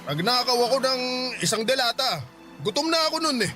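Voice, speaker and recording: Man acting a bitter, high-pitched grumbling father. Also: sing-song, fairly quick, loud music behind the voice. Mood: defensive, bitter. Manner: defensive, grumbling. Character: bitter, demanding widowed father, jobless and hard-drinking. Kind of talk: Filipino radio drama acting.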